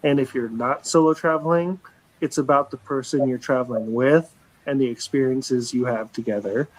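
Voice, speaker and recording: A man in his forties speaking at a middle pitch, with an everyday voice. He talks casually and earnestly, circling back and restarting his thoughts as he goes. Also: normal speed, rough recording. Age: 40s. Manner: rambling and earnest, with frequent restarts and casual swearing. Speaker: livestream show regular. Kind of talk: casual live chat.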